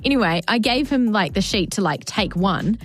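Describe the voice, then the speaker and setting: A New woman co-hosting radio in a middle-pitched, easy voice. She teases her co-host with dry little asides and tells quick anecdotes. Faint music sits in the background. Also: animated. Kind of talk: casual on-air radio chat.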